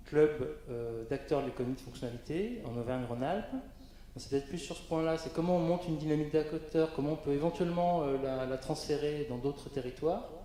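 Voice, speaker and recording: A man's voice: fairly high pitch, brisk, dark tone. A man presenting on a conference panel, hesitant, with frequent fillers and restarts. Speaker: panel speaker. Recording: very echoey conference hall.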